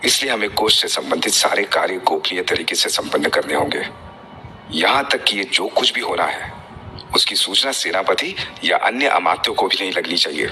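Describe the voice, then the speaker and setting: A man playing a scheming, domineering senior royal minister in a historical drama, speaking formal Hindi in a measured, authoritative way. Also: low voice, lively intonation, full character voice. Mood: grave, conspiratorial. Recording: loud dramatic music behind.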